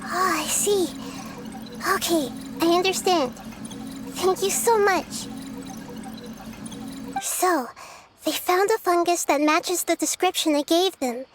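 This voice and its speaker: Casting: small, wise forest-goddess girl, high sweet pitch, calm and reassuring, game dialogue over faint music. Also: slow pace, pauses often. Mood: focused, gentle. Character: gentle, wise child-sized girl deity of the forest. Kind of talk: video game voice acting.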